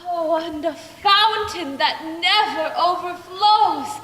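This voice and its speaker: Old stranger of a stage fairy tale, played by a young woman: enigmatic, slow, very high, echoey hall. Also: a bit bright, exaggerated voice. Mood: mysterious. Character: mysterious old stranger tempting with magical wonders. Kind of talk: stage play acting. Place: school stage play in a large hall. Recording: echoey hall, faint noise.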